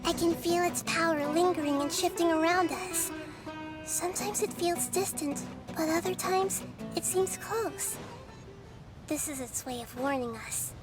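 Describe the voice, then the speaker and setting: Very high-voiced wise girl god. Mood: wary. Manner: earnest, measured, articulate. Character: small young girl god, wise, gentle, earnest. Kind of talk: video game voice acting. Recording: music behind the voice.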